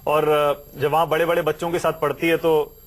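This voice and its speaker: A man anchoring a TV news show, interviewing a guest in the studio with brisk, teasing questions. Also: a little high, flat delivery.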